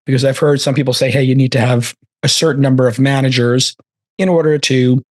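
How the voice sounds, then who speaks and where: A man with a raspy voice hosting a podcast in a casual, chatty way. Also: medium pitch, steady tone, brisk, dry recording. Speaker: podcast host.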